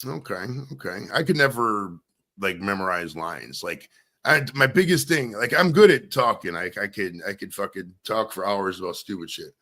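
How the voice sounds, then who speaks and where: A man's voice: fairly low pitch, animated, moderate pace, bright, forceful tone. Profane rambling male podcast host. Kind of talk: casual interview chat.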